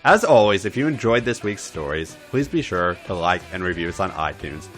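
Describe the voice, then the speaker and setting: A man hosting a podcast and narrating erotic fiction in a theatrical, drawn-out way. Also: low-pitched, expressive, fairly bright tone, soft background music. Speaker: podcast host and story reader.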